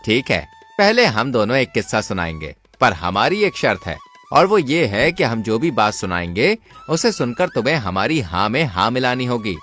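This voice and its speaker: Man as scheming swindler brother, medium pitch, very varied intonation, theatrical folk-tale dialogue. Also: big pitch swings, normal speed, a bit bright, soft background music. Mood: crafty, assured.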